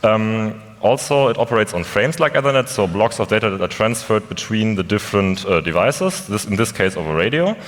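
German guy explaining tech stuff on stage, slightly deep voice, echoey hall. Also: lively intonation, a little fast, few pauses. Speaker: conference speaker, software developer. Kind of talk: explanatory technical presentation. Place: large conference hall. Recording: echoey hall with long reverb.

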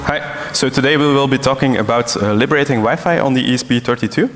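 A man's voice: mid-range voice, quick pace, over music. A man from Belgium gives a technical conference presentation as one of the speakers. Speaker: conference speaker, embedded and security developer. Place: large conference hall. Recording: echoey hall with long reverb.

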